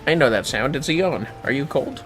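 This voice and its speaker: A man's voice: mid-range voice, lively intonation, a little fast, music in the background. A man streaming a game, chatting casually. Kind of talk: casual livestream chat while gaming.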